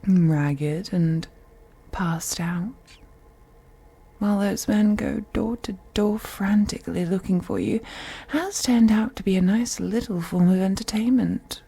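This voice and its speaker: A woman playing a wealthy elder vampire in an intimate audio drama, soft and breathy, speaking in a teasing, condescending, seductive manner. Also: mid-range voice, full character voice. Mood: amused, curious. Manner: slow, teasing, condescending, drawn-out phrasing with breathy whispers.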